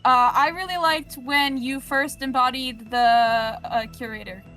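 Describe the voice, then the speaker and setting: A woman in a casual group Q&A as a podcast cast member, joking in a deadpan, teasing way. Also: high voice, a little slow, faint music behind.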